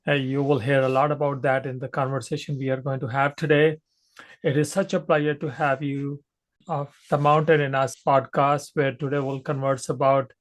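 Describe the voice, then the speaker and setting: A man hosting a podcast, who asks questions in a measured way with many fillers. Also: fairly high pitch. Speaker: podcast host. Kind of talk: podcast interviewing.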